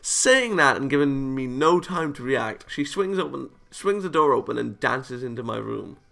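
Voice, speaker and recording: Bright-voiced man reading a visual novel, creaky. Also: medium pitch, expressive, normal speed. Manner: chuckles and comments between read lines. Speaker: video game let's player. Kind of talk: reading visual novel narration aloud with casual reactions.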